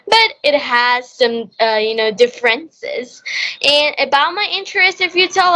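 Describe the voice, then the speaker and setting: A woman's voice: high voice, pushed and bright, rough recording. A young girl with a Bangladeshi accent, a native Bengali speaker, hosting an English practice conversation in careful, rehearsed phrases. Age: child, about 11 to 13. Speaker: host of English practice channel.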